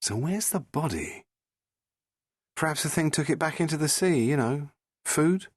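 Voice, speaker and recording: A man's voice: a little high, lively intonation, a little slow, no room sound, studio quality, voice pushed up. A man voicing a feisty young woman, a cheeky adventuring companion in an audiobook, with an exclamatory delivery. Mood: puzzled.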